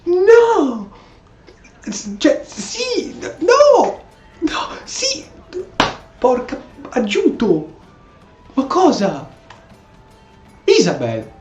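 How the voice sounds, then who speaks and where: A man with an Italian accent giving casual, playful, excitable commentary as he role-plays through a video game. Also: very high voice, very slow, long pauses, reverberant, faint music behind. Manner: excitable, joking, exclamatory. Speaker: gameplay streamer.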